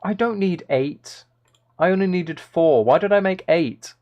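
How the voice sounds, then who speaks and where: A man's voice: high voice, a little slow, dull audio. A man livestreaming a game with casual commentary, muttering to himself in a mildly exasperated way. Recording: keyboard typing and clicking noise. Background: keyboard and mouse clicks.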